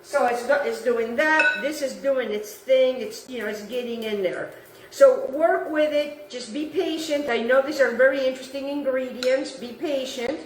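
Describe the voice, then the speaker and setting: A woman's voice: high-pitched, moderate pace, far from the mic, noisy background. A woman from Italy whose first language is Italian, hosting a casual home cooking demonstration and chatting as she works, repeating herself and talking to the viewer. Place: home kitchen. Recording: echoey kitchen, voice off-mic.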